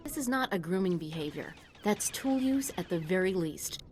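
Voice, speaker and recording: Low-voiced, eager actress as nerdy scientist. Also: female voice, animated, normal speed, close to an everyday voice. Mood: eager, intent. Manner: precise, absorbed, academic. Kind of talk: video game dialogue. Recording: game music underneath.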